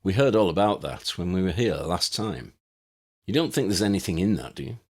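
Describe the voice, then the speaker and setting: Man as a plain-spoken young man, decisive, informal, animated intonation, audiobook character. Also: low voice, brisk, slightly rough, heavily acted. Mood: doubtful.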